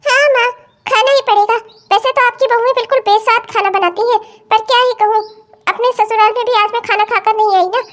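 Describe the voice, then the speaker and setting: A woman's voice: extremely high pitch, steady tone, fast talker, a bit bright. A woman playing a spoiled, entitled married daughter in a family melodrama, whiny, haughty and demanding. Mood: complaining, entitled. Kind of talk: Hindi family melodrama story acting.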